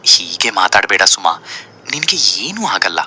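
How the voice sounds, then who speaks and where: A man acts a devoted village father in a moral tale, earnest and soothing. The voice is very bright and animated, with faint noisy ambience behind it. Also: sits low, normal speed, exaggerated voice. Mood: worried.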